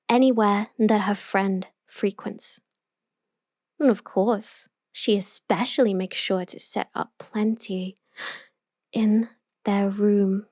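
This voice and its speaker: A woman playing an obsessive, possessive young yandere in an intimate roleplay audio monologue, telling her story with deliberate emotional intensity. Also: mid-range voice, darkish tone, no room sound, studio quality, lightly acted. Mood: sweetly wistful, then hushed, brooding.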